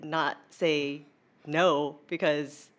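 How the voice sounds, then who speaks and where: Low-voiced young American woman chatting casually. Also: fairly even intonation, extremely slow, a bit bright. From United States. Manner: chatty, informal, heavy use of "like". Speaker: interview guest. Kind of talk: casual conversational interview.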